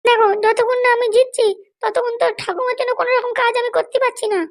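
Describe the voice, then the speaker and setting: Squeaky, fast-scolding cartoon wife. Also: female voice, little pitch movement. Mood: fretful, complaining. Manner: scolding, threatening. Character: hot-tempered, foul-mouthed wife running for election. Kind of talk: amateur comedy cartoon dialogue.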